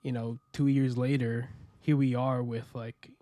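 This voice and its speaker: A young American man telling his story as a podcast guest, relaxed and rambling with many fillers and restarts. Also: mid-range voice, measured pace, no room sound. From United States. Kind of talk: casual interview chat.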